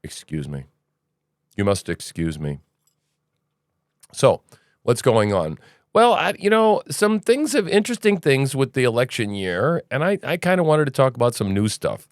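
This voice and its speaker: A man's voice: mid-range voice, sing-song, normal speed, no echo, clean studio sound. A man hosting a casual news commentary podcast, rambling and opinionated, with sarcastic asides. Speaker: podcast host.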